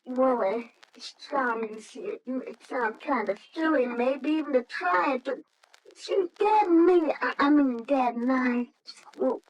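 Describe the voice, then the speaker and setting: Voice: sits high, slow, a little hiss or hum, poor audio. A performer playing an earnest, anxious young boy in a radio drama, hesitant and pleading, on an old recording. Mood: shy, hopeful.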